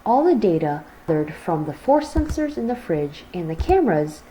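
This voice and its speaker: A woman's voice: lowish voice, big pitch swings, moderate pace, mellow, a little hiss or hum, rough recording. A young woman presenting a product model and guiding listeners through its parts. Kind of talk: product demonstration.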